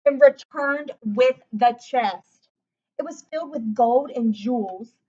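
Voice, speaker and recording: A high-pitched woman reads a children's story aloud with animated expression. She slips easily into chatty asides to her listeners. The recording is a little dull and lo-fi. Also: slow. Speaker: teacher reading a picture book aloud.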